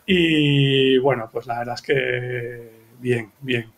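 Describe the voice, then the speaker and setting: Guest, man in his 40s, Madrid accent, rambling and warm-humored, webcam audio. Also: mid-range voice, steady tone, takes it slowly, slight background noise. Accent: Madrid Spanish. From Madrid, Spain. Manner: chatty, self-correcting, laughs often. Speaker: guest photographer. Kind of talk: casual conversation. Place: home room. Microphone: video call, webcam.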